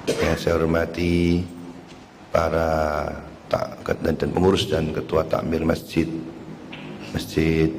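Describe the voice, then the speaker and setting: A deep, low-voiced man preaches in a slow, hoarse voice, his pitch staying flat and even. The sound rings out with long hall reverb, and faint ambient noise sits behind him. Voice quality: slightly coughing. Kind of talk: religious lecture. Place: mosque hall.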